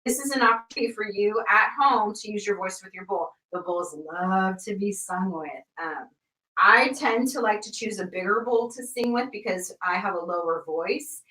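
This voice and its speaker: A woman teaching a live online sound healing class, encouraging and full of ums and uhs, softer and slower when she guides the exercise. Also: medium pitch, far from the mic. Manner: soft, slow guiding during the exercise. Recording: roomy, from off the mic.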